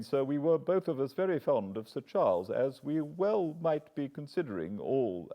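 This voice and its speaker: Brief: man playing a respectful middle-aged British butler, earnest, lively pitch, bit muffled. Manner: respectful, measured, apologetic.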